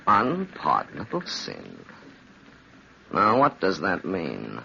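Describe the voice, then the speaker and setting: A man's voice: low voice, wildly swooping pitch, takes it slowly, gravelly, sharp tone, full character voice. An actor playing a cynical, wicked man who relishes his evil, in a theatrical, grandiose, taunting manner in an old-time radio drama. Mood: brooding. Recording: dull, hissy old radio recording.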